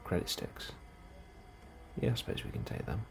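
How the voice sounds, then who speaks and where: A man in a soft, hushed ASMR role-play, speaking calmly and unhurriedly as a helpful colony immigration officer, close to the microphone. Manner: hushed, unhurried, sometimes dropping into whisper. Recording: clearly audible background ambience noise.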